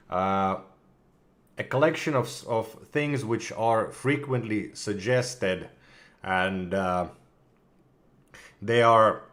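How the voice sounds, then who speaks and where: A man with a slightly hoarse voice from a cold, chatting casually with viewers on a livestream, full of fillers and restarts and clearing his throat. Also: on the low side. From Russia. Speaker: community manager of a game studio.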